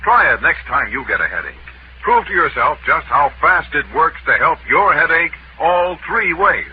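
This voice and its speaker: Animated American man, old-time radio announcer. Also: normal speed. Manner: polished, emphatic sales pitch. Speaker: commercial announcer. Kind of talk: 1940s radio advertising. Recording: muffled old radio recording, faint noise.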